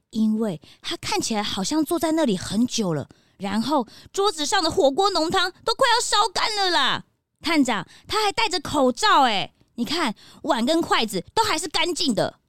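Lady doing nosy excitable boyish sidekick, high cartoon voice, close dry sound. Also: no room sound. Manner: inquisitive, wide-eyed exclamations. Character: curious, excitable young male detective's sidekick. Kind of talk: children's radio drama acting.

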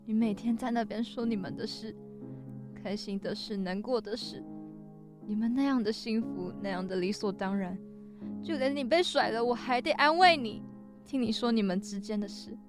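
A woman's voice: fairly high pitch, normal speed, music in the background, close to an everyday voice. A woman acting a secretly lovesick friend defending herself with aggrieved emotion in an audio drama. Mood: aggrieved, pleading. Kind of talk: melodramatic radio drama acting.

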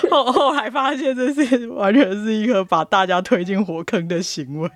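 A woman's voice: fairly high pitch, moderate pace, no echo. A woman with a Taiwanese Mandarin accent, an author talking casually as a podcast guest. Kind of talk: casual chat.

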